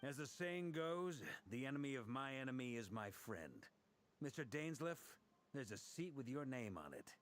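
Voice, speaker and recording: Man playing a wry, smooth-talking young man. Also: medium pitch, sing-song, moderate pace, lightly acted. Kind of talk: video game character dialogue. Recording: faint noise from game stream.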